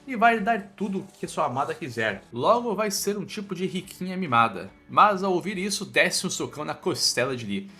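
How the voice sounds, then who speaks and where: A man's voice: high-pitched, sing-song, moderate pace, hardly any pauses, bright, forceful tone. A man with a Brazilian accent narrating a scripted comic story recap in a playful, colloquial storytelling manner. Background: background music.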